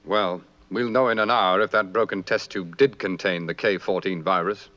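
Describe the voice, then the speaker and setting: An actor playing an earnest, decisive heroic captain in a vintage puppet sci-fi series, crisp and dramatic. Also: male voice, deep voice, fairly even intonation, normal speed, pushed and bright, a little hiss or hum. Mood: serious. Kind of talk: 1960s puppet TV sci-fi acting. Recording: dull vintage TV soundtrack with music.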